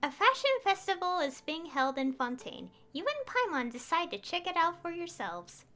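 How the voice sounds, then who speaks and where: A woman streaming a game, chatting casually and reading on-screen text aloud in a hesitant, unhurried way. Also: very high voice, very animated. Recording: soft music behind the voice. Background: game music.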